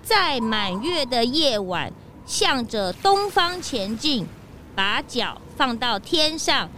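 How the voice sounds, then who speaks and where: Woman as a high, childlike, curious sidekick. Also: sing-song, slow pace, sharp tone, slight background noise, heavily acted. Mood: curious, puzzled. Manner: childlike, blurting questions. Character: naive, curious, chatty young sidekick to a detective. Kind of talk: children's audio drama acting.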